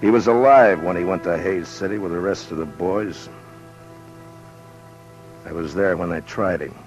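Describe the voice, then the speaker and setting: A male actor plays a weary American lawman of the Old West, with a very low voice and a grim, terse delivery. It is 1950s radio western drama on a muffled old broadcast. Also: normal speed, soft background music, lightly acted. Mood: weary, narrating.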